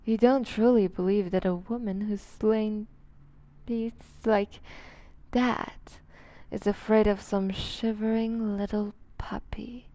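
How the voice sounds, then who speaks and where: A woman's voice: mid-range voice, fairly even intonation, takes it slowly, dark tone, muffled recording, close to an everyday voice. A woman playing a seductive, dominant vampire duchess in an intimate ASMR roleplay, teasing and condescending, often slipping toward a whisper. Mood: haughty, teasing.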